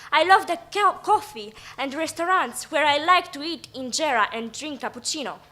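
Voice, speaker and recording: Eritrean teen girl, careful reader, bright tone. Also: high-pitched, expressive. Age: teens. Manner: careful, halting reading with self-corrections. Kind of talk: reading a prepared piece.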